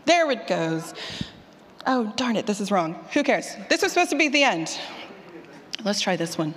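A woman presents informally at a conference session through a handheld mic. Her mid-pitched voice rings with long hall reverb. She is chatty, often cutting herself off for joking asides. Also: big pitch swings, moderate pace. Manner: chatty, self-interrupting, jokey asides. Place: large room with an audience. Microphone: handheld microphone.

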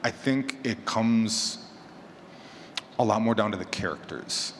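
Guy with a lowish voice chatting on a panel, big echoey hall, some noise behind him. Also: unhurried, fairly bright tone. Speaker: panelist, horror fiction podcaster. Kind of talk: live panel discussion, casual. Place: large echoey room at a convention panel.

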